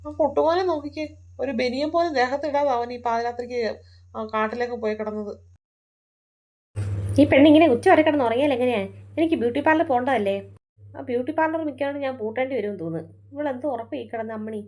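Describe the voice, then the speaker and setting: A woman's voice: a little high, quick pace, a little mellow, natural voice. A young woman playing a busy mother in a home-made family skit, fussing and scolding. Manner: fussy, nagging, scolding. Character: busy mother who runs a beauty parlour.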